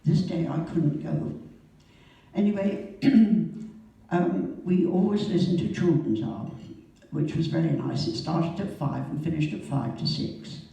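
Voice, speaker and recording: A woman, telling her wartime memories to a church congregation in a halting way, with pauses and throat-clearing. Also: sits low, mellow. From Norwich, England. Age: 80s. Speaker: guest speaker sharing memories. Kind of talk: personal storytelling to a congregation. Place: church hall. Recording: echoey church hall from far off.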